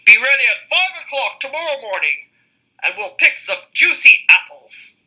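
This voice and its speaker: A woman playing the Big Bad Wolf, loud and growling. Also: high-pitched, big pitch swings, moderate pace, breathy edge, pitched above the performer's own voice, exaggerated voice, low-quality recording. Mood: coaxing. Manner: growling, roaring demands. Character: big bad male wolf, menacing, blustering.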